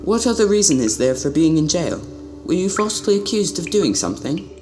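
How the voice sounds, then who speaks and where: A man's voice: on the high side, sing-song, fairly quick, music in the background. A man playing an earnest, curious young prince in an amateur radio drama, with a formal and stiffly polite way of speaking. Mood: suspicious.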